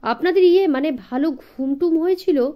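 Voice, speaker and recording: A woman voicing a timid, fussy gentleman in an audiobook, stammering and hesitant, dropping in bits of English. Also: high-pitched, moderate pace, dull audio, voice pushed up, natural voice. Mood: nervous, probing. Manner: hesitant, stammering, filler-laden, peppered with English.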